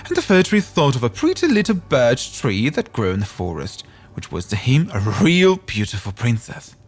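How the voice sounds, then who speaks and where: Male storyteller, scripted fairytale narration, highly animated intonation, background music. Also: moderate pace, slightly rough.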